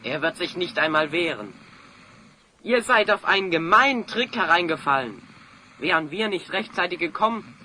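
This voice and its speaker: A brave young hero in an audio drama, declaiming earnestly. The voice is forceful and slightly high, with faint noise behind it. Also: male voice, animated, moderate pace, heavily acted. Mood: passionate, pleading. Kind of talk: German audio drama acting.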